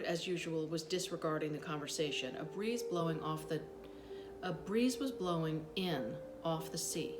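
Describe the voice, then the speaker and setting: A woman reading a children's book aloud as an expressive storyteller, with dramatic pauses and brief asides. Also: medium pitch. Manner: animated, dramatic pauses, acts out dialogue.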